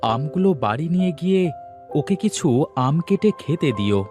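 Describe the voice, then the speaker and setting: A man's voice: on the high side, big pitch swings, a little slow, darkish tone, faint music behind. A man voicing a protective, caring but exasperated village father in a cartoon story. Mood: eager.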